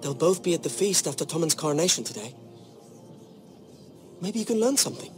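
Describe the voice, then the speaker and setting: A man's voice: on the high side, animated, a little fast, soft background music, close to an everyday voice. A young man playing an earnest, loyal, secretive servant informant in a video game drama. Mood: earnest, urging.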